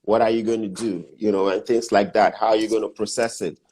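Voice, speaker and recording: Emphatic Nigerian man, low voice. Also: level tone, a little fast, a little mellow. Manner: emphatic, lists steps and repeats key points. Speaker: guest business mentor. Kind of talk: casual interview talk.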